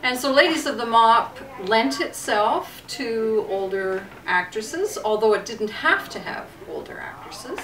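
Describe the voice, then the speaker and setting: A woman's voice: fairly high pitch, animated, a little slow, hardly any pauses, fairly bright tone, slight background noise. A woman who directs theatre, talking unscripted about her work in an interview. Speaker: experienced amateur theatre director being interviewed. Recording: roomy echo, distant microphone.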